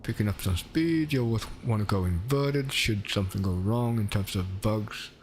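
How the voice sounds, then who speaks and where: A man's voice: fairly low pitch, moderate pace, a little hiss or hum. A man with a Swedish accent, a Swedish native speaker, chatting casually and rambling as he streams a space game. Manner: chatty, rambling, self-correcting. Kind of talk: casual gameplay commentary.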